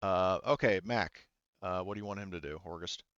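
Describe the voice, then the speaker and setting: A man's voice: low-pitched, moderate pace, a bit bright, smooth, no room sound, dull audio. A man running a tabletop game as game master, talking casually and hesitantly as he thinks through and explains the rules.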